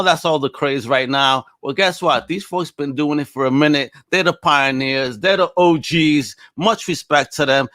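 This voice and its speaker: Guy hosting a podcast, bright punchy voice kinda high, super hype, lots of slang. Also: dry recording. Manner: hype-man enthusiasm, exclamatory. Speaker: podcast host. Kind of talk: upbeat casual interview chat with slang.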